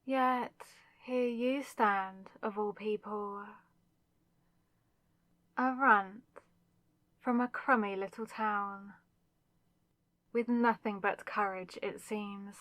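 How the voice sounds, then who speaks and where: A woman's voice: medium pitch, steady tone, drawn-out words, long pauses, fairly bright tone, no echo. A woman playing a haughty, villainous spirit in a possessed body, taunting and theatrical with mock sweetness, in a scripted ASMR roleplay. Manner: taunting, theatrical, mockingly sweet, savouring words.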